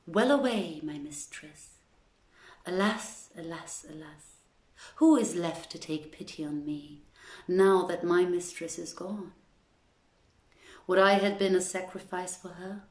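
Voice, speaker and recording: A woman voicing a lamenting male servant in a storybook tale, with emphatic, drawn-out phrasing. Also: fairly low pitch, a little mellow, roomy sound. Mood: wailing grief. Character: male servant, theatrically wailing, reporting disaster.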